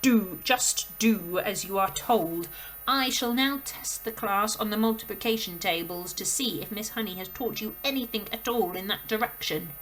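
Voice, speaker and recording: A thundering, bullying giant of a headmistress, played by a woman reading a storybook aloud. She barks and bellows every word slowly and heavily, as if hammering it into a class of small children. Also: mid-range voice, steady tone, a bit bright, slight background noise, rough recording. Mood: scornful. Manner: bellowing, slow and emphatic. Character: huge, brutal female headmistress, bullying and thunderous.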